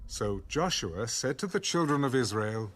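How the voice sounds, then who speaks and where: A man's voice: mid-range voice, lively intonation, normal speed, fairly bright tone, a little hiss or hum. A man narrating scripture in a dramatic, scripted storytelling style. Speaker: Bible narrator.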